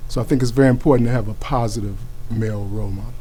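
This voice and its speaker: A middle-aged or older man answering interview questions as a play's narrator, measured and earnest. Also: on the low side, steady tone, mellow, a little hiss or hum. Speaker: actor interviewee, play narrator.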